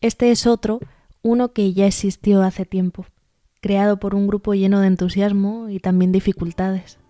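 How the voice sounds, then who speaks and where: A woman's voice: medium pitch, flat delivery, normal speed, warm tone, dry recording, studio quality. A woman with an accent from Spain narrating a scripted podcast as host and art therapist. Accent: Spanish from Spain.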